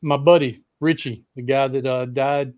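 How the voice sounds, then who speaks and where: Low-key American man, blunt rambling talk. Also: fairly even intonation, normal speed, a little mellow, clear, resonant tone, dry recording, clean studio sound. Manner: blunt, heavily profane, rambling. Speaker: co-host of a rock and metal talk show. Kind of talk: casual chat.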